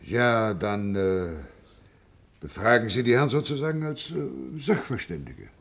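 A man's voice: mid-range voice, expressive, gravelly. A committee chairman in a radio drama. He speaks with stiff formality, hesitating and stumbling over his phrases as he keeps order. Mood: tentative. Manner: halting, officious, stammering. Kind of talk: German radio play acting.

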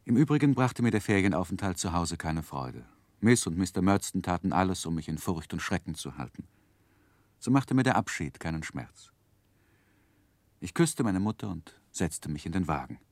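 An actor playing a grown man who soberly recalls his childhood, narrating a 1960s radio play in a measured, reflective way. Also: deep voice, animated, darkish tone, no echo. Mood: wistful, reflective.

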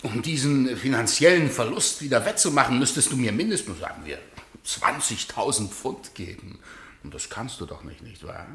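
An actor in a German radio play as a domineering, mocking husband who talks with theatrical condescension. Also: male voice, medium pitch, animated, moderate pace, vocal fry, fairly bright tone, a little hiss or hum. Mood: smug, taunting. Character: sneering, domineering husband who enjoys power and taunting.

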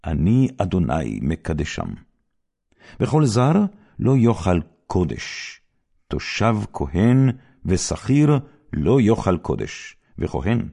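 A man reading the Bible aloud in a solemn, scripted style, with measured, deliberate phrasing and pauses. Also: deep voice, mellow, breathy edge. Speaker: scripture reader.

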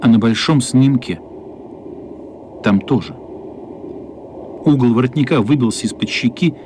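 A man's voice: mid-range voice, slow pace, darkish tone, a little hiss or hum. A man reading literary narration as the storyteller of a children's radio play.

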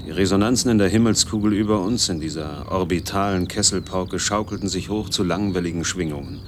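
A man's voice: low voice, brisk, background noise, close to an everyday voice. An actor playing a lonely, bitterly brooding man in his forties in a radio drama monologue, speaking in clipped, literary fragments. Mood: grim, intense. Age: 40s.

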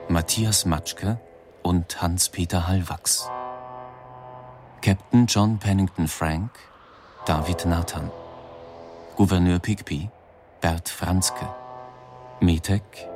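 A man reading radio play credits as a formal announcer. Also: deep voice. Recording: studio broadcast with music underneath. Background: clearly audible music.

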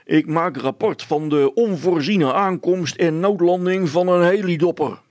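A man's voice: high voice, measured pace, darkish tone, dry recording, voice pushed up. A man voicing a dutiful village constable for a storybook, formal and deferential to his superior. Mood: formal reporting.

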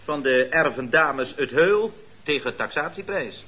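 An eager, righteous male underling in a radio comedy. His voice is bright and forward, a little high for a man, and he speaks with earnest emphasis and deference to his boss. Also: lively intonation, unhurried, breathy edge, heavily acted. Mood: self-satisfied. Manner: earnest, emphatic, keeps saying "chef".

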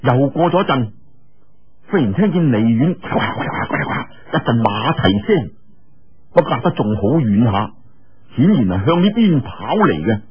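A man with a Cantonese accent telling a story in an animated, colloquial audiobook style. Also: medium pitch, moderate pace, dull audio, a little hiss or hum.

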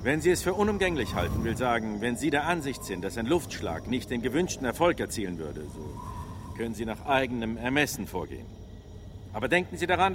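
An actor playing a commanding army officer briskly briefing his squad in a radio drama. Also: mid-range voice, noisy background, close to an everyday voice. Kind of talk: German radio drama acting. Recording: loud outdoor vehicle ambience.

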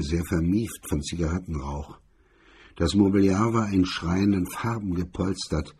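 Male narrator for noir crime prose: deep-leaning, mellow and dark, heavily husky. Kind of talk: scripted narration of a hard-boiled crime story.

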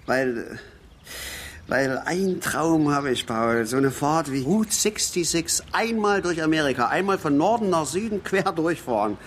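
An actor in a naturalistic German radio drama playing a boastful, dreamy working-class drinker who rambles and insists. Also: male voice, on the high side, animated, a little slow, a little hiss or hum. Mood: wistful, dreamy. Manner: rambling, insistent, repeats himself.

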